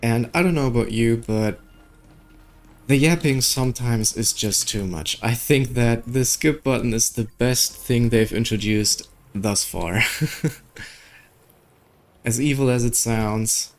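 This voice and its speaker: A man giving casual playthrough commentary as a gaming video host, hesitantly thinking out loud. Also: mid-range voice, takes it slowly. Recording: background music under the voice.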